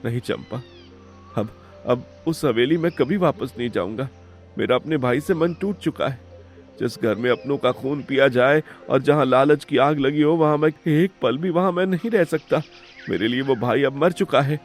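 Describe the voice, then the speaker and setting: Casting: man to play betrayed younger brother, village cartoon melodrama, mid-pitched, earnest and principled. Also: normal speed, soft background music, close to an everyday voice. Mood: anguished, hurt. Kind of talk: melodramatic Hindi moral-story cartoon acting.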